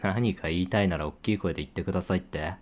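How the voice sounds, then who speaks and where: A young man acting as a playful senior coworker who teases in a casual, offhand way with hushed asides. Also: low voice, fast talker, close to an everyday voice. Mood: teasing. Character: teasing male senior coworker, playful, secretly fond. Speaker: voice actor. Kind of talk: situation voice drama.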